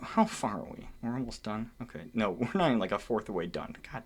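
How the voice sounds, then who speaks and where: A man's voice: on the low side, very animated, fast talker, slightly rough. A man reading a document aloud as a content creator, breaking off for irreverent, sweary, theatrically indignant asides.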